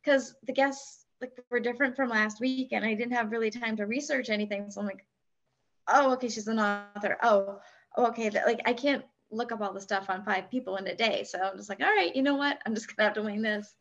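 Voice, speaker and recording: A chatty woman with a high, youthful voice who talks in quick rambling bursts and restarts her sentences often. The sound is a little muffled and lo-fi, as if heard over a weak call. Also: steady tone. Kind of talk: casual chat.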